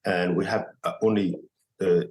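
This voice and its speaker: A man from Morocco with a Moroccan accent and Arabic as his first language, telling his story as a podcast guest in halting, filler-filled English. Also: sits low, fairly even intonation, darkish tone, poor audio. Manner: halting non-native English, many fillers and restarts. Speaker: guest chef. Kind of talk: casual podcast interview.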